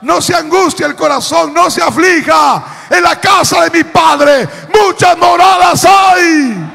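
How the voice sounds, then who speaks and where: A middle-aged man preaching an impassioned sermon as a pastor, declaiming emphatically with repeated phrases in a raspy voice. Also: extremely high pitch, slow pace, pushed and bright, raised voice, a faint crowd. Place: church hall with congregation, large indoor room. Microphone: handheld microphone through PA. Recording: echoey hall PA with long reverb.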